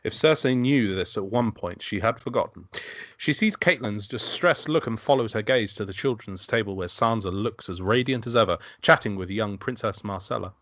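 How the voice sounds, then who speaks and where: Low-voiced man narrating a script. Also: moderate pace, fairly bright tone, no echo, clean studio sound. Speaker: narrator reading stage directions. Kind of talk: scripted narration at amateur table read.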